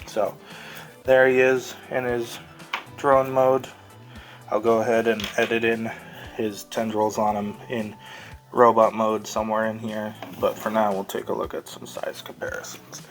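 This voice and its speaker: A man's voice: lowish voice, level tone, unhurried, faint music behind. A man hosting a casual, unscripted toy review, talking in a rambling, easygoing way as he handles the item. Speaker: toy reviewer and host of the show.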